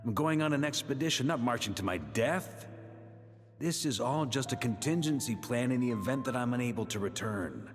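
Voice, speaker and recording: An actor playing a seasoned, fatherly grandmaster knight in a video game, calm, assured and reassuring in a measured way. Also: mid-range voice, lightly acted. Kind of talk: video game voice acting, scripted dialogue. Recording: long cavernous reverb over faint music.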